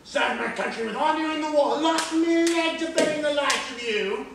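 Man playing a very high-pitched pompous old major. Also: moderate pace, fairly bright tone. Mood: indignant. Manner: pompous, indignant. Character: indignant, proud old war-veteran major. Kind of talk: amateur stage play acting. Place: theatre stage, indoors. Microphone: distant camera microphone. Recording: echoey theatre stage from far off, faint noise.

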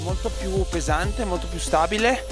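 A man's voice: high voice, moderate pace, over music. A man giving a matter-of-fact ski review. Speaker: ski tester. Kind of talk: product review.

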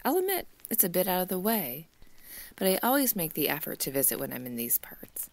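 A woman's voice: fairly low pitch, big pitch swings, brisk. A woman playing a refined, coy lady hotel guest with elegant, formal phrasing in an old-time radio drama.

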